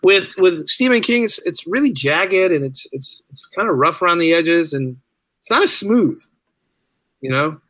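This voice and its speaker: Bright, higher-voiced man chatting. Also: animated, moderate pace, no room sound. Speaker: podcast guest, writer and audio drama creator. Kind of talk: casual interview chat.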